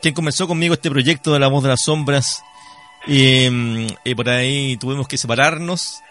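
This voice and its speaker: A man's voice: medium pitch, steady tone, normal speed, a bit bright, faint music behind. A man with a Chilean accent hosting a live radio interview, addressing his guest in a courteous, formal way. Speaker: radio host.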